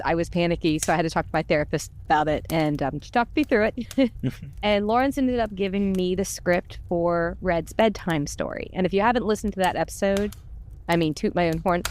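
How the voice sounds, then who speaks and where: Woman, slightly low voice, casual storytelling, candid and self-deprecating, faint background noise. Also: darkish tone. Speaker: podcast voice actor. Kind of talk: casual chat, personal storytelling.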